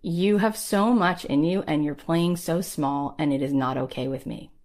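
A woman's voice: deep voice, moderate pace, a little mellow. A woman in her thirties, a podcast host giving an emphatic, rallying motivational talk in a conversational way.

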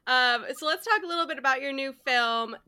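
A woman hosting an interview in a casual, enthusiastic way, quick to affirm her guest. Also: high voice, sharp tone. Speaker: interview host.

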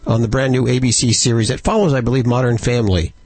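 A man's voice: mid-range voice, fairly even intonation, quick pace, a little mellow. A man hosting a radio show, reading plugs in an announcer-like way. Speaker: radio host.

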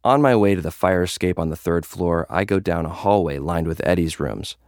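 A young gladiator influencer with a low voice, recorded close and dry. He is jaded and puts up a tough front, telling his story in first person with casual, slangy confessions, like an audio drama lead. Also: a little fast, darkish tone, no room sound. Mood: jaded, confiding.

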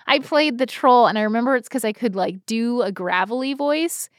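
Female co-host, higher-pitched, casual and self-deprecating storyteller, dry studio-like sound. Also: normal speed, no room sound. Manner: rambling, self-deprecating, informal. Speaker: podcast co-host. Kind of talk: casual chat, personal storytelling.

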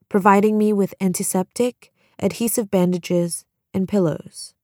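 A woman with a mid-pitched voice speaks as an author, reflective and personal, as if presenting her own memoir. The recording is dry, with no room sound. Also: darkish tone, no echo.

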